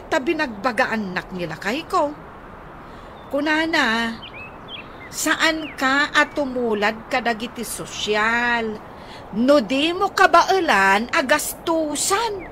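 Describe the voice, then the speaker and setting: Very high, slow-talking Ilocano creditor. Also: female voice, expressive, pushed and bright, slight background noise, heavily acted. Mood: chiding. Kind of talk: Ilocano radio comedy drama acting.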